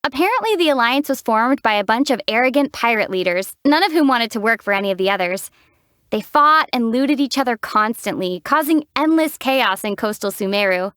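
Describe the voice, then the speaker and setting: An actress voicing a calm, wry young female traveler hero in a video game, giving dry teasing explanations and sharp battle shouts. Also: on the high side, brisk, clean tone, no room sound. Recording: dry close studio voice.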